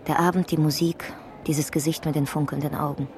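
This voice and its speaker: A woman's voice: low voice, level tone, a little fast, darkish tone, lightly acted. An actress in a German radio drama playing an earnest, troubled woman in a draining love, searching and introspective. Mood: melancholy, introspective.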